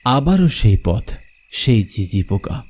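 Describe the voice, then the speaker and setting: A man's voice: medium pitch, very animated, slow, darkish tone. A man narrating a scripted horror story in the first person, in a dramatic, suspenseful manner. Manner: suspenseful, theatrical.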